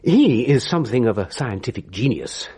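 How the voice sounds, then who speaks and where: An actor playing a genteel, fussy old bachelor gentleman in a radio drama, telling his story precisely with theatrical pauses. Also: mid-range voice, sing-song, slightly rough. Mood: storytelling.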